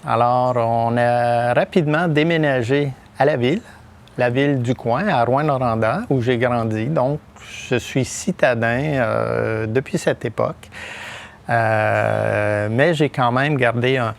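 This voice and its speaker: A middle-aged Québécois man speaking as a podcast guest. His voice has a gravelly, creaky edge. He pauses often with fillers and restarts as he builds his answers. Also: medium pitch. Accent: Québécois French. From Quebec, Témiscamingue. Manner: hesitant, many fillers and restarts. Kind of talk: podcast interview.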